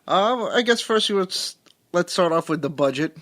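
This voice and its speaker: A man's voice: high voice, expressive, moderate pace, fairly bright tone, dry recording. A man co-hosting a casual movie podcast, reading out budget figures plainly and steadily. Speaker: podcast co-host.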